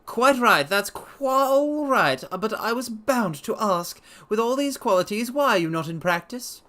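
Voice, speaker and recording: Man putting on a high, blustery pushy gentleman. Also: lively intonation, full character voice. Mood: brisk, pushy. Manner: brisk, bustling, blunt, eager questioning. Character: blustery, pushy gentleman investor with money to spend.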